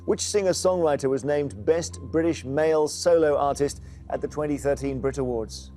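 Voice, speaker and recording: A middle-aged man hosting a television quiz show, brisk and jokey as he reads out the questions. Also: a little high, dark tone, soft background music.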